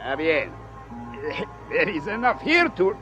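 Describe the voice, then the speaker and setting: An actor who stammers and then gloats. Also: male voice, high-pitched, huge pitch swings, slow, heavily acted. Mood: gloating. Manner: rattled stammering, then sly and pleased. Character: flustered Mexican male hotel manager, greedy and superstitious. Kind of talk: 1950s radio drama acting. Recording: dull old radio transcription with faint music.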